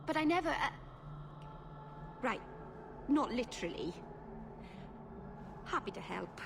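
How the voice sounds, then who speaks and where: Shy young elven mage over noise. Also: female voice, a little high, big pitch swings, slow, full character voice. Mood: flustered. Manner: nervous, apologetic rambling. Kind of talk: video game dialogue acting. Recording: game capture with clear background noise.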